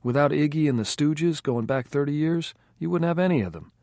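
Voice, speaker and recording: A man's voice: medium pitch, measured pace, no room sound, studio quality, pitched above the performer's own voice, close to an everyday voice. A man voicing an opinionated male rock fan who lectures and lists bands. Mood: lecturing. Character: male rock-music know-it-all, opinionated.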